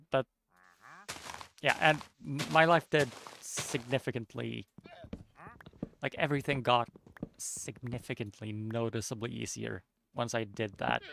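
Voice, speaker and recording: A young man with a Norwegian accent, chatting casually while streaming a game, somewhat monotone and mumbling with unclear diction. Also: mid-range voice, gravelly, slight background noise. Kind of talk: casual chat while gaming.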